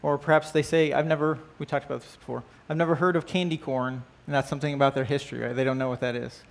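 A man's voice: mid-range voice, fairly even intonation, fast, vocal fry. A man, teaching a church class in a casual, conversational way, often checking in with listeners. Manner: conversational, asks the class questions, frequent "right?" and "uh". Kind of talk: church class lesson with opening prayer. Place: church stage with band gear, indoors.

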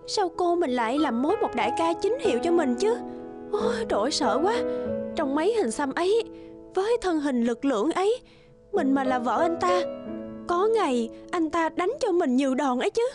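A young single woman from a cartoon drama, with a very high voice. She is anxious and quick to judge, fretting expressively. Soft music plays behind her. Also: sing-song. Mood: fearful. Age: young adult. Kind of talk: animated drama dialogue. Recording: studio voice over background music.

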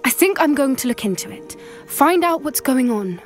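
A woman voicing an earnest, caring teenage girl student in a video game, with a gentle manner. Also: high voice, expressive, moderate pace, faint music behind. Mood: concerned.

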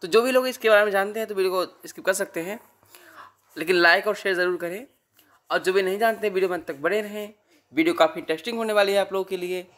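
A man's voice: high-pitched, quick pace, fairly bright tone. An Indian man with a sore throat, giving a casual app tutorial as the host.

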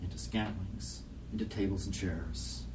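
A man giving a measured, scripted reading of documentary poetry. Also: deep voice, fairly even intonation, darkish tone. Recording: distant mic, noisy background.

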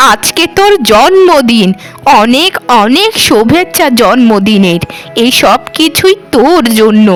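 A woman voicing a kindly, motherly elderly mistress of a house in a cartoon, tender, doting and gentle. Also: high-pitched, low-quality recording. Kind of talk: cartoon voice acting.

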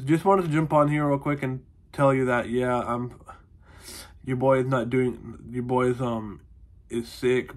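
Congested young man rambling to camera. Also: mid-range voice. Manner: rambling, heavy on fillers and "honestly". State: congested, nasal from a cold. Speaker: vlogger. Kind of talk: casual vlog update. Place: small room at home, indoors. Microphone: phone or webcam close to the face.

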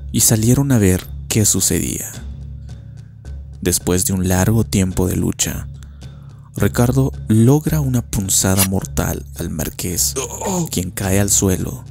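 A male narrator tells a legend in a dramatic scripted style. His voice is deep and gravelly. Faint music accompanies him. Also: a little mellow.

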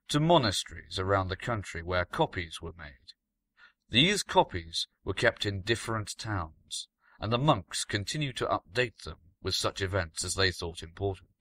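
A man reading a scripted gothic story as narrator, in a measured, dramatic manner. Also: sits low, fairly bright tone, dry recording.

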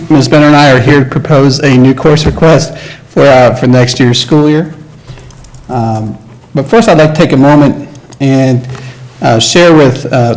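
A man of middle pitch gives a formal presentation to a school board. He speaks in measured steps and stops often to say uh. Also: a little mellow, rough recording. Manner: measured, with frequent uh fillers and restarts. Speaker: career and technical education presenter. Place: school board meeting room.

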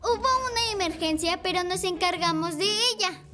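An actor voicing an energetic young scooter kid with bubbly, exclamatory delivery in a children's cartoon dub. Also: extremely high pitch, moderate pace. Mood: lively.

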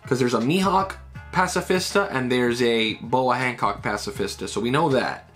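A man in his thirties, chatting loosely through a comic chapter at a middle pitch. He fills his talk with "like" and "right?" tags. Faint music plays under his voice in a small room at home. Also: moderate pace, a bit bright. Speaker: manga reviewer. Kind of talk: casual breakdown of a comic chapter.

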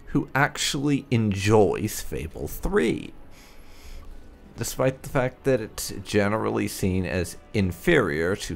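A man's voice: fairly low pitch, gravelly. A man hosting a gaming video, commenting casually with light, sardonic roleplay.

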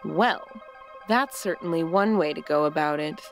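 A woman's voice: sits low, normal speed, soft background music. A woman host giving scripted dramatic narration of a story.

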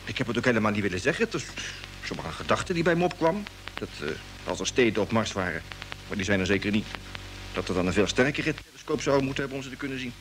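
A man's voice: lowish voice, expressive, fast, a bit bright, low-quality recording. A man acting a plain-spoken, curious crewman in a vintage radio serial, colloquial and easily flustered. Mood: flustered, defensive. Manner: colloquial, stammers when flustered. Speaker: radio drama actor. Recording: old radio play with music underneath.

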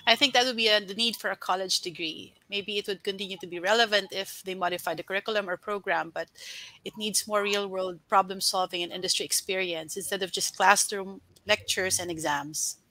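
A woman with a bright, forward voice answers questions on an online panel. She sounds close and dry, giving considered replies as a panelist. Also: medium pitch, steady tone, a little fast, no echo, rough recording. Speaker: panelist, a parent. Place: indoors, on a video call.

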